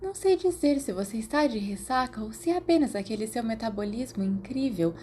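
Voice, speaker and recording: A frank teenage schoolgirl acted by a Brazilian woman narrator gossips wryly in an audiobook. The pitch is slightly raised, and faint noise sits behind the voice. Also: expressive, brisk, darkish tone, close to an everyday voice. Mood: incredulous.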